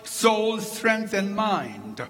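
Korean-accented man, pastor preaching, high voice, unhurried delivery, reverberant church sanctuary. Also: big pitch swings, a bit bright, soft background music. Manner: slow, deliberate preaching with emphatic lists. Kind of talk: sermon.

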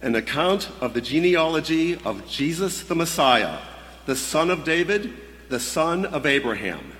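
A man's voice: fairly high pitch, very animated, unhurried, fairly bright tone. A man giving a formal, dramatic reading of scripture in a church. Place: large church sanctuary. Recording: long church hall reverb.

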